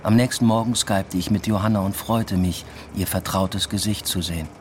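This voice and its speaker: A man's voice: lowish voice, fairly even intonation, normal speed, slight background noise, natural voice. An actor playing a searching, lovelorn young man adrift abroad, narrating a radio drama in a reflective, understated way. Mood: wistful.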